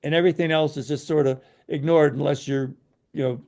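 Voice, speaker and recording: A man's voice: a little high, fairly even intonation, a little fast, a little mellow. A man co-hosting a podcast, giving frank opinions in casual chat, hesitant and full of fillers. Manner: frank, hesitant, many "you know" fillers. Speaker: podcast co-host.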